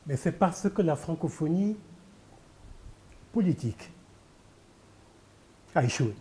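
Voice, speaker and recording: Older Senegalese poet, Pulaar first language, slightly high yet deeply dark tone, rhetorical and emphatic. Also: male voice, expressive, slow. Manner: emphatic, repeats key phrases, rhetorical. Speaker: poet and candidate guest. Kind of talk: televised interview.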